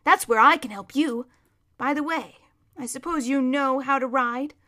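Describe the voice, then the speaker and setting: A woman's voice: high-pitched, moderate pace, bright, forceful tone, voice pushed up. A woman reading a storybook as a proud, bossy but kindly male war horse, with an emphatic, lecturing manner. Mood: persuasive. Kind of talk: children's storybook character reading.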